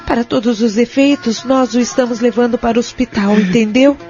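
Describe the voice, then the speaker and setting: A compassionate Brazilian care-home woman played by an actress speaks gently and seriously in an audio drama. Her voice sits a little high, with music clearly behind her on a slightly muffled recording. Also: steady tone, a little fast, natural voice. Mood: discreet, consoling. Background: background music.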